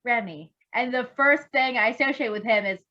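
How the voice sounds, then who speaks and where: A woman's voice: fairly high pitch, fairly quick, sharp tone, lo-fi sound. A woman chatting casually as a co-host, gushing and swearing freely. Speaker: co-host reacting to a story reading. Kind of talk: casual chat.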